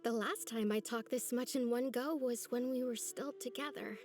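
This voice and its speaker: A woman playing a gentle, lonely young village woman in a video game, speaking in an earnest, soft, hesitant way. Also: fairly high pitch, moderate pace, faint music behind, lightly acted. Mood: pensive.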